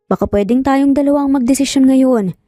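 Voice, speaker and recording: A woman voicing a sincere, gently teasing young father in love in a narrated romance story. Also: high-pitched, fairly quick, dry recording, clean studio sound, lightly acted. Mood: playful.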